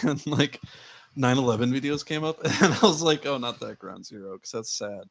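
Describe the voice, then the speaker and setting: A man's voice: mid-range voice, normal speed, creaky. A young man in his early twenties, a podcast co-host, rambling casually, laughing often and swearing. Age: young adult. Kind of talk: casual chat.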